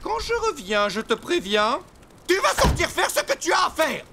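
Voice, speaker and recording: A man's voice: very high-pitched, huge pitch swings, moderate pace, very noisy, exaggerated voice. A man acting an exasperated dog owner in a French cartoon dub, theatrical, swinging between coaxing and indignation. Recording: music behind the voice.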